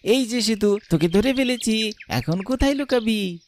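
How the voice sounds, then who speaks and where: A man's voice: high voice, animated, normal speed, slight background noise. A man voicing a teasing village boy in a cartoon who defends himself and taunts the other children.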